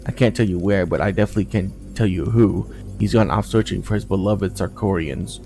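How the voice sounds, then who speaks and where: A man reading aloud the game dialogue of a talkative, gruff soldier, in blunt colloquial speech. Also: sits low, a little fast, dark tone, soft background music. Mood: grumbling.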